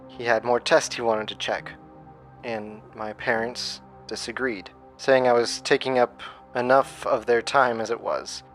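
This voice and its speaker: A man plays a haunted survivor in a horror audio drama. He narrates in a medium-pitched voice, grave and halting, like a confession. Soft music sits underneath. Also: natural voice. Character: haunted, traumatised man recounting a childhood nightmare.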